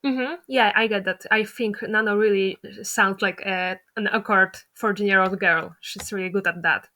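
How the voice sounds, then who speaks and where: A woman's voice: medium pitch, fairly even intonation, moderate pace, pushed and bright. A woman hosting a casual interview, asking questions in an informal, slightly tentative way.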